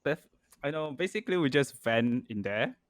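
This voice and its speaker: A man's voice: mid-range voice, expressive, normal speed, no room sound. A man hosting a podcast, chatting in a friendly, hesitant way with frequent restarts and fillers. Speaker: podcast host. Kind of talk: casual podcast conversation.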